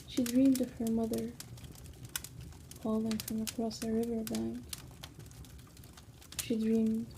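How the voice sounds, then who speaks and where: A woman whispering an ASMR bedtime story in a hushed, soothing manner, with long pauses between phrases. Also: a little high, completely flat delivery, gravelly, background noise.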